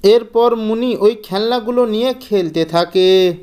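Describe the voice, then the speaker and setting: Casting: female narrator for children's tales, smooth clear voice, middle pitch. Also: unhurried, warm tone. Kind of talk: scripted children's story narration.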